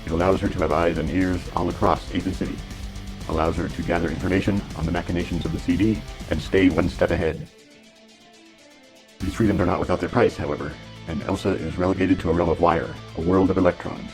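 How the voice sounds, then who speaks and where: A man giving dramatic, grandiose scripted narration for an adventure serial, with deliberate phrasing. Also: sits low, steady tone, darkish tone, faint music behind.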